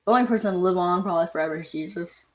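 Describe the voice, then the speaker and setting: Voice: medium pitch, rapid-fire, dull audio. A young adult reaction host commenting casually, rambling with many ums and casual swearing.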